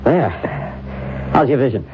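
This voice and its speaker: An actor in an old-time radio drama playing a self-satisfied disguise expert, musing and giving directions. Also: male voice, mid-range voice, lively intonation, a little slow, close to an everyday voice. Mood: musing, pleased. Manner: musing, directive, pleased with himself. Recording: dull, noisy old radio recording.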